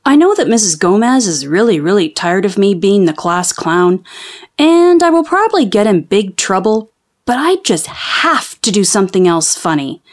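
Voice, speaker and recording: This is a woman voicing a young schoolboy class clown in a storybook. The delivery is very animated, earnest and confessional, with emphatic repeats. The boy sounds like a mischief-maker owning up. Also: mid-range voice, normal speed, close to an everyday voice. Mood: sheepish, determined.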